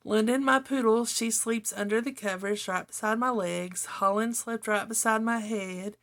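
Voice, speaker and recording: A middle-aged woman with a slightly hoarse voice, chatting casually to viewers as a craft channel host in a homely, rambling way. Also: fairly high pitch, steady tone, moderate pace, a bit bright. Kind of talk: casual chat to viewers while journaling, partly reading own notes.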